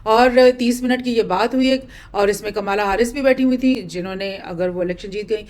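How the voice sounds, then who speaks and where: A woman gives informal news commentary as a host, explaining and rephrasing her points in a halting way. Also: mid-range voice, a little mellow. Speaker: host presenting news analysis.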